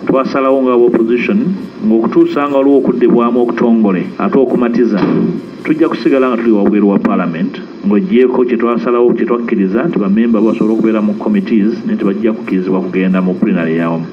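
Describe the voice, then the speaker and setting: Ugandan male politician, quick formal statement, dull broadcast clip. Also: fairly low pitch, fairly even intonation. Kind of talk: press statement.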